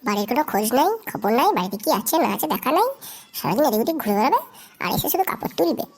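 A woman's voice: a little high, very animated, rapid-fire, husky, heavily acted. A woman voicing a scolding, crude young village wife in a comedy cartoon. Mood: grumbling. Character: angry, foul-mouthed young Bengali village wife.

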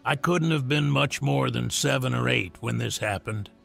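A man reading out a story in measured narration, with a gravelly, husky voice. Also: mid-range voice, a bit bright, soft background music. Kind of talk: scripted narration of a reader story.

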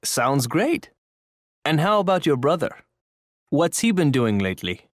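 A woman's voice: low-pitched, sing-song, a little slow, no room sound, studio quality, full character voice. A woman playing an interested acquaintance asking questions in scripted lesson small talk. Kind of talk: scripted English listening-exercise dialogue.

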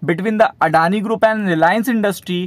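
A man's voice: high-pitched, extremely slow, dry recording. A man with an Indian accent giving explanatory market commentary as a video host, repeating himself and mixing in English terms.

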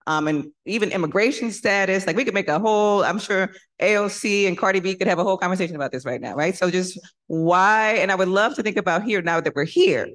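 A woman moderating a conference panel on stage, lively, putting rhetorical questions to the audience. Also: medium pitch, quick pace, few pauses. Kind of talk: panel discussion.

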